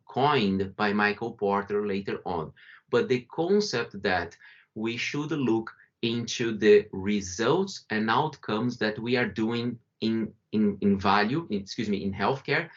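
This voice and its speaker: A man from Brazil with a Brazilian accent, giving a conversational webinar presentation over a video call, often restarting and correcting himself. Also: lowish voice. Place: remote call from home or office. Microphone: video call microphone. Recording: muffled video call audio.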